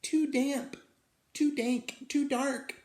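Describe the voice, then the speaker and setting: A man puts on a tiny storybook mouse, pitched way up and squeaky. The little creature is fussy and timid, exclaiming its lines in an echoey room. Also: steady tone, drawn-out words, darkish tone, exaggerated voice. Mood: fussy, complaining. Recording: roomy, echoing indoor sound.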